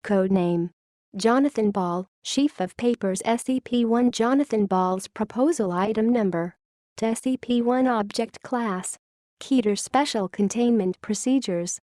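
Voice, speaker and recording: A woman reading scripted narration of a fictional classified report in a formal, clinical, measured manner. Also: on the high side, mellow, no echo, studio quality.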